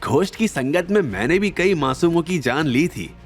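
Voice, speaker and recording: Man acting a boastful, animated underworld hitman. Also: on the high side, normal speed, soft background music, pitched above the performer's own voice. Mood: awed, reverent. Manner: dramatic, swearing, awed. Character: boastful reformed male underworld hitman, reverent storyteller, greedy.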